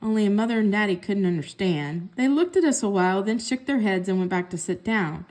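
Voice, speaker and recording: A woman reading a children's book aloud as a storyteller, with expressive narration. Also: mid-range voice, a little fast. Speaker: story reader.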